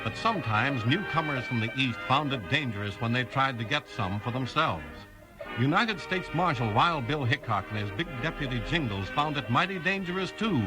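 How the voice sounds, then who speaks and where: A man's voice: medium pitch, expressive, normal speed, low-quality recording. A man narrating a western adventure in a dramatic, scripted 1950s radio storytelling style. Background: music.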